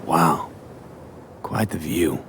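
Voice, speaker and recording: An actor playing a calm, dry young street mercenary in a video game, speaking in a clipped, understated way. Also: male voice, rumbling low, drawn-out words, noisy background, exaggerated voice. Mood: calm, curious.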